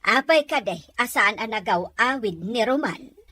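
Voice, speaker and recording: A woman acting as a high-pitched, scolding Filipino woman. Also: lively intonation, moderate pace, bright, forceful tone, dry recording. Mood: exasperated. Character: scolding, suspicious Filipino woman in a family drama. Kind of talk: radio drama acting.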